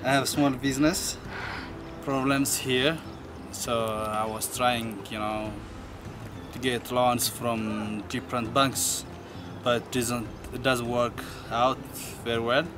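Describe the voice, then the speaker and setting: An Ethiopian man gives an unscripted testimonial. He talks slowly in halting, simple English with an Ethiopian accent, and music plays clearly behind him. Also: medium pitch, level tone. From South Omo, Ethiopia. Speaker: tour guide, small business owner.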